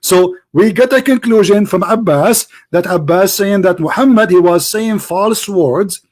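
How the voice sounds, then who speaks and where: High-voiced sarcastic male debate host. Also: unhurried. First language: not English. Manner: sarcastic, mocking, insistent, rising to shouts. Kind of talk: heated online debate.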